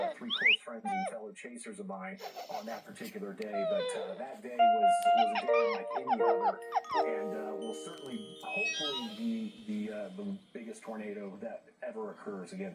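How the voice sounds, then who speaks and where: Middle-aged man, distant under loud music. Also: mid-range voice. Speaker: storm chaser and meteorologist guest. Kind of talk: conversational interview answers. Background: loud music with other voices mixed in.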